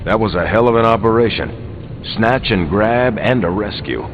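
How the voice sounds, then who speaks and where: An actor playing a tough action hero in a video game, talking bluntly and casually. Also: male voice, lively intonation, normal speed. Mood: satisfied. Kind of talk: video game character dialogue. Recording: dull sound, rumbling vehicle noise.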